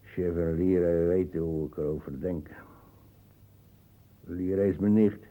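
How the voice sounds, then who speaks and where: An actor playing a suspicious, cantankerous old colonial uncle with a gravelly, husky voice in a radio drama. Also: male voice, low-pitched, fairly even intonation, measured pace, phone-quality sound. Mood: bitter. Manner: brooding, cantankerous.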